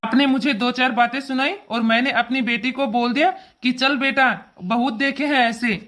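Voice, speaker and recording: A woman's voice: high-pitched, steady tone, normal speed, fairly bright tone. A woman voicing an indignant, protective father who lectures emphatically in a cartoon family drama. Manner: emphatic, lecturing, repetitive scolding.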